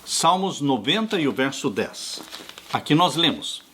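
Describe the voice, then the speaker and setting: A man in his sixties, a pastor, preaching an earnest and unhurried sermon that addresses his listeners tenderly as dear ones. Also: medium pitch, big pitch swings, pushed and bright, a little hiss or hum. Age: 60s. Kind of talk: sermon on a Bible study lesson.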